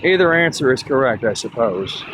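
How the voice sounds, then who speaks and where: Chatty man talking over loud traffic. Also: mid-range voice, big pitch swings, moderate pace. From Texas, USA. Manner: chatty, rambling, thinking aloud while walking. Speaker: vlogger; teacher. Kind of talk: casual walking vlog. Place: outdoors on a city street. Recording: loud traffic noise behind. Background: loud street traffic and motorbikes.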